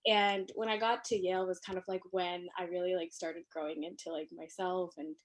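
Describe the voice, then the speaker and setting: A young woman from Ethiopia, chatting as a podcast guest over a video call from her living room, animated and full of fillers. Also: on the low side, fairly quick. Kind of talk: casual conversation.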